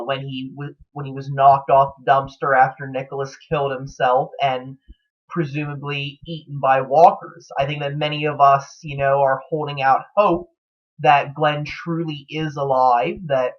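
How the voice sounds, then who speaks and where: Guy doing casual fan theory talk, kinda hesitant n halting, muffled lo-fi audio. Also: mid-range voice. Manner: hesitant, halting, thinking aloud. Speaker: TV series fan commentator. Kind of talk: casual unscripted theory commentary. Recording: dull lo-fi sound.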